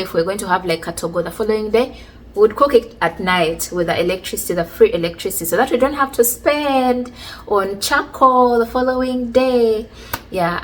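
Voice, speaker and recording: A woman, casually telling stories from her childhood in a chatty, relaxed way. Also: medium pitch, a little hiss or hum, poor audio. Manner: chatty, relaxed, many fillers like "like" and "you know". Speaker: vlogger. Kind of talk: casual storytelling about childhood.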